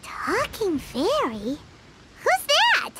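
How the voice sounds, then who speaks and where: A woman voicing a tiny, cheeky, childlike talking fairy sidekick in a video game, with a squeaky voice. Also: wildly swooping pitch, drawn-out words, very noisy, exaggerated voice. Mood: curious. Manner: cheeky, speaks of herself by name. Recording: music behind the voice.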